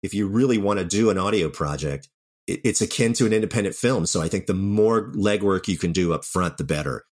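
A man's voice: low voice, fairly quick. A man speaking casually and earnestly in an interview as a guest writer and director, often telling anecdotes.

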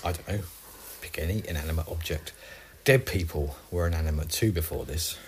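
A man's voice: very deep voice, brisk, slight background noise. A man acting a blasé, sarcastic bickering husband in a zombie comedy audio drama. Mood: dismissive.

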